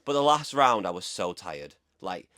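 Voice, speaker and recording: A man's voice: sits low, sing-song, moderate pace, bright, forceful tone. A man, chatting casually and informally as a podcast guest. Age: 20s. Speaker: podcast guest, horse rider. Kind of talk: casual conversation.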